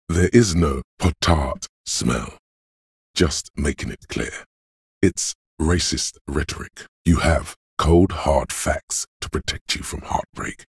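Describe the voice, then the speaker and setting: A cool, analytical inner voice of logic from a video game. It is profoundly low and heavily husky, with crackling fry at the edges. The delivery is measured and matter-of-fact, reasoning things through deliberately. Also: male voice, dry recording, clean studio sound, heavily acted. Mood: dry, reasoning. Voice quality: gravelly, husky bass. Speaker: voice actor. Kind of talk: scripted game narration as an inner voice.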